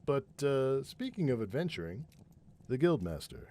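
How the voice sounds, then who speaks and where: A man's voice: medium pitch, very animated, normal speed, warm tone, natural voice. A man playing a hearty, carefree warrior adventurer in a fantasy audio drama, bluff yet courteous, with formal old-fashioned phrasing.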